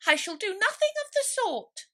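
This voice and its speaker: A woman voicing a touchy, indignant mouse from a storybook, with a severe, sharp, huffy way of talking. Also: extremely high pitch, sing-song, normal speed, voice pushed up, exaggerated voice. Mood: angry, severe.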